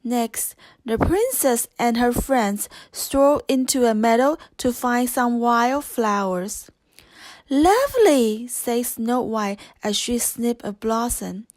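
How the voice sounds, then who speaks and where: A woman narrating a scripted children's story with gentle, careful phrasing. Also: a little high, fairly even intonation, takes it slowly, no echo. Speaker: audiobook narrator.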